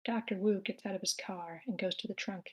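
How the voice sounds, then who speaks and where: Medium-pitched woman narrating a script. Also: steady tone, fairly quick, slightly rough. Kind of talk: reading screenplay stage directions aloud.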